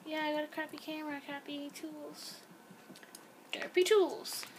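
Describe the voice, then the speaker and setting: A woman's voice: high voice, steady tone, unhurried, a little hiss or hum. A young woman chatting casually and rambling while she plays a game, filmed by a camera pointed at a screen. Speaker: gameplay video host. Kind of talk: casual chat while playing. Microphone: camera filming a screen.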